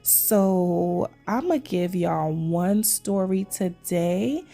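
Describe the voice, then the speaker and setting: A chatty woman in her thirties from Trinidad talks viewers through her work in a relaxed voice-over, her low voice rough with creak. Her throat still sounds a little scratchy after losing her voice. Soft music plays faintly behind her. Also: drawn-out words. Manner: chatty, addresses viewers as "y'all" often. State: recovering voice, slight throat tickle. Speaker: nail vlogger.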